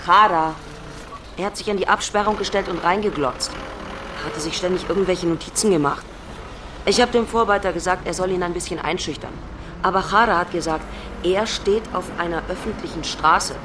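Distant miking, clear street noise, actress as brisk female site boss, exasperated, blunt, sarcastic, radio drama. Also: on the low side, lightly acted. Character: brisk, exasperated woman in charge of building sites. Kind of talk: naturalistic German radio drama dialogue. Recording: noisy outdoor street, distant from mic.

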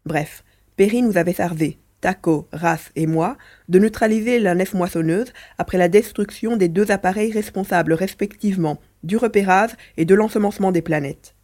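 A woman's voice: medium pitch, moderate pace, a little mellow, natural voice. A woman voicing a mischievous male mouse-beaver alien, a chatty, teasing adventurer in a French audio drama. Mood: storytelling.

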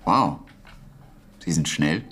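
An actor playing a patient, wry British wartime code master in a naturalistic radio drama, speaking deliberately with pointed pauses. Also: male voice, a bass voice, animated, pushed and bright, husky, heavily acted. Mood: measured, tactful.

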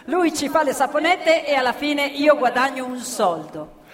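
Casting: scrappy working-class girl, matter-of-fact, higher pitched, radio theatre in a reverberant hall. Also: sing-song, moderate pace. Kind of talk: live stage radio theatre dialogue. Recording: hall reverb, live stage performance.

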